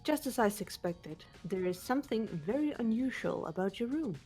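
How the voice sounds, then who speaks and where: A woman voicing a cool, analytical teenage girl detective, deliberate and composed, for a visual novel game. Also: a little high, lively intonation, darkish tone, soft background music, pitched above the performer's own voice, lightly acted. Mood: intrigued, composed. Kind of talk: voiced visual novel reading.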